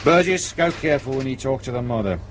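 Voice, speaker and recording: An actor playing a gruff, impatient British senior police detective giving orders in a radio drama. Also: male voice, mid-range voice, lively intonation, fast, faint music behind, lightly acted. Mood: commanding.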